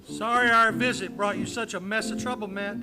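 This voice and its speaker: A man's voice: high-pitched, steady tone, fairly quick, loud music behind the voice, close to an everyday voice. A man playing an earnest, folksy frontier husband in an old-time radio western. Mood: earnest, regretful. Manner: folksy plain speech.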